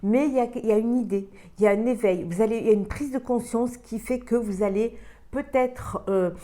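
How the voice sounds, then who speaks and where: A woman's voice: fairly high pitch, fairly quick, mellow. A woman with a cold giving a weekly card reading for the water signs, talking in a hesitant way with many fillers and repeated words. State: has a cold. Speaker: tarot reader.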